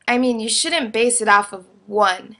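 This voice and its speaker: Young adult female vlogger, slightly high voice, casual and opinionated, blunt informal delivery. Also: a little slow, fairly bright tone. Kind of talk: casual opinionated video monologue.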